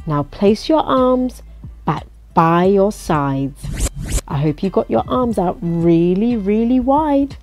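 Slow, lively woman reading a children's storybook. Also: medium pitch, soft background music. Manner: expressive, coaxing, asks the listener questions. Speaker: storybook reader. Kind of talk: children's picture-book read-aloud.

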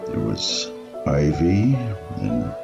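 A man's voice: a bass voice, very animated, drawn-out words, vocal fry, heavily acted. An actor playing an old man who reminisces about his refugee childhood in a radio play, telling it like a story. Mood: nostalgic. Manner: storytelling, hesitant while remembering. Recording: muffled, roomy, music underneath.

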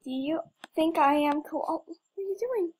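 A woman's voice: extremely high pitch, normal speed, darkish tone. A young woman giving casual, playful game commentary with mock drama and exclamations. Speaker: gamer recording gameplay.

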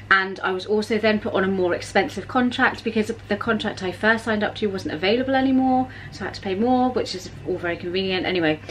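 Woman, 30s, home vlogger, chatty and exasperated, mid pitch, faint room noise behind. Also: fairly bright tone, poor audio. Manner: chatty, exasperated storytelling with swearing. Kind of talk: casual chat to camera. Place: home kitchen, indoors. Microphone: camera at arm's length.

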